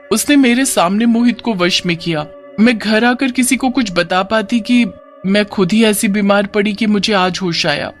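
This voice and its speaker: A man's voice: high-pitched, fairly bright tone. A man voicing a brave but anxious young village woman with an earnest, urgent manner in a cartoon moral story.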